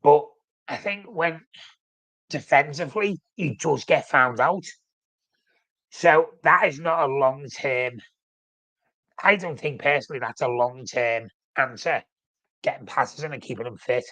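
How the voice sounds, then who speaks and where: A man's voice: mid-range voice, unhurried, long pauses, bright, forceful tone, no room sound, rough recording. A man with a flu, sounding snuffly, hosting a casual live-stream chat about his football club and rambling with frequent self-corrections. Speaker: fan channel host.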